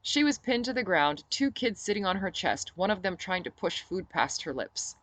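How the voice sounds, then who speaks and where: A woman reading her own novel aloud as expressive first-person audiobook narration. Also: mid-range voice, moderate pace, no echo.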